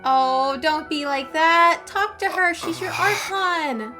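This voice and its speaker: A woman's voice: very high voice, slow, bright, forceful tone. A woman game streamer giving casual live reactions in short, sympathetic, cooing asides. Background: game music.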